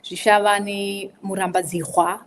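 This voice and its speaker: A woman in her forties from Zimbabwe, talking through interview questions at an easy middle pitch and often stopping to restart her sentences. Her voice carries a long, hall-like reverb, with faint ambient noise behind it. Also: little pitch movement, normal speed. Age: 40s. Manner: rambling, hesitant, many fillers and restarts. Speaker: podcast guest. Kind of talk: casual interview answers.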